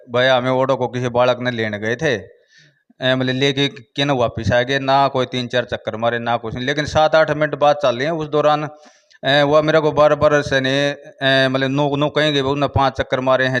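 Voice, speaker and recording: A man with a Haryanvi accent speaks in a clear, resonant voice at a middling pitch. He talks informally as an interviewed village head, halting often, repeating himself and leaning on filler phrases. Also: highs cut off.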